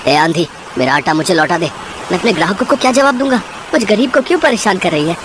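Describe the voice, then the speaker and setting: A man voicing a poor, hardworking village miller in a cartoon story, husky and dramatically exclaiming. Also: sits high, animated, fast talker, background noise. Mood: agitated, pleading.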